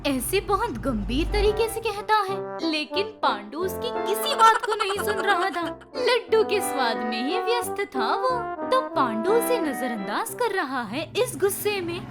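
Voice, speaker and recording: Very high-pitched woman storyteller. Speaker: story narrator. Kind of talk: scripted children's story narration. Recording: music behind the voice.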